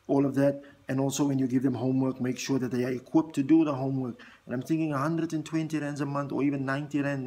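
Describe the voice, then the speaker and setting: Husky South African man thinking aloud. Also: fairly high pitch, darkish tone. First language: Afrikaans. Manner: earnest, thinks aloud with many fillers and restarts. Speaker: vlog host. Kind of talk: casual direct-to-camera talk.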